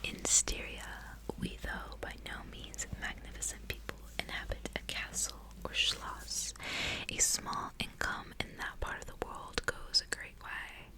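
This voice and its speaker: A woman reading in a soft, breathy ASMR whisper, with slow, careful, hushed delivery, close to the microphone. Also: rough recording. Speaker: ASMR host reading a book aloud.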